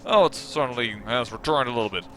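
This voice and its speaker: A man's voice: medium pitch, quick pace, a bit bright, slight background noise. A Dutch man with a Dutch accent, hosting a let's play and rambling in chatty, self-mocking commentary. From Netherlands. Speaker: gaming channel host.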